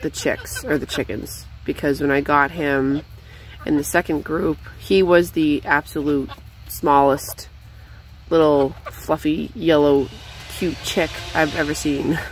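A young woman chatting casually while she feeds her backyard chickens, rambling and cooing at them in a high voice, recorded on a handheld phone outdoors. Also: steady tone, unhurried, slight background noise, rough recording. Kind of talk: casual off-the-cuff vlog chat.

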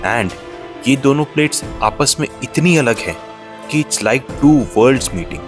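A man's voice: mid-range voice, takes it slowly, soft background music. A man with an Indian accent narrating a road-trip travel video in scripted voice-over, moving between Hindi and English. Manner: mixes Hindi and English, steady storytelling. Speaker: travel vlogger.

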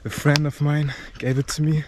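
A man's voice: on the high side, little pitch movement, normal speed. A young man in his twenties with a German accent, talking casually and a bit out of breath to a handheld camera while climbing a mountain trail, rambling with lots of fillers and swearing. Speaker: travel vlogger. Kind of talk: casual vlog talk while hiking. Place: outdoors on a rocky mountain trail. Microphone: handheld camera at arm's length. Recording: outdoor camera audio with clear background noise.

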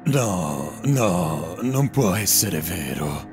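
A man's voice: sits low, very animated, drawn-out words, music in the background, exaggerated voice. A man voicing a menacing, scheming boss in a parody cartoon, ominous and theatrical. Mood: dismayed.

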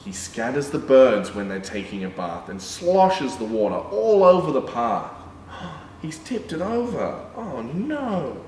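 A young man reading a picture book aloud to children as a storytime presenter, in an animated, exclaiming manner. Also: medium pitch, slow. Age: young adult. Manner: animated, exclaiming, with excited gasps and asides. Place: indoor room with painted backdrop. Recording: roomy, distant mic, background noise.

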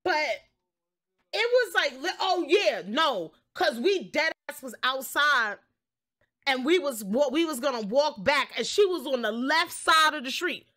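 A young woman telling a story casually on a livestream, expressive, slangy and sweary. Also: sits high, measured pace. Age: young adult. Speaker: game streamer.

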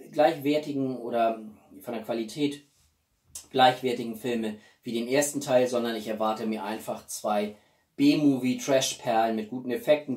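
A man in his forties chatting casually to viewers as a video host, with an expressive, emphatic delivery and dramatic pauses. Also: medium pitch. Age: 40s. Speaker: YouTube host. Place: small living room indoors. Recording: roomy echo, distant mic.

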